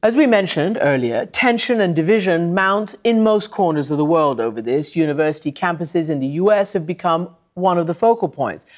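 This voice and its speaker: A woman's voice: on the low side, moderate pace, few pauses. A woman news anchor reading a scripted introduction. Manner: lively newsreader delivery.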